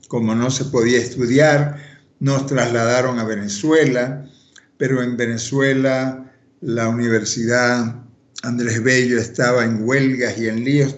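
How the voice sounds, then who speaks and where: Slow-older man, roomy echo. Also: medium pitch, a little mellow. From Cuba. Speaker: interview guest. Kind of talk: autobiographical storytelling in conversation. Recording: roomy echo, slightly dull sound.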